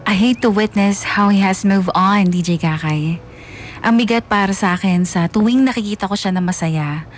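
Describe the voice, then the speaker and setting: An actor with a Filipino accent playing a bitter, wounded young son, narrating confessionally in mixed Tagalog and English for a radio drama. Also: male voice, sits high, normal speed, slight background noise, close to an everyday voice. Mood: bitter, brooding. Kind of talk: dramatised first-person letter narration.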